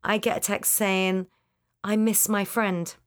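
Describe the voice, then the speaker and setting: A woman's voice: mid-range voice, takes it slowly. A woman with a slight lisp tells a story in a chatty podcast. Her voice sits in a middle register and she quotes messages as she goes. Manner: animated storytelling, quoting texts. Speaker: podcast co-host. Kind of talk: casual chat. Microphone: studio mic with pop shield.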